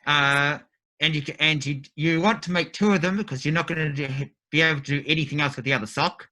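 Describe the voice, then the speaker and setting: A man's voice: on the high side, fairly even intonation, fast talker, bright, forceful tone. A man casually explaining practical tips on a group video call. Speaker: guest.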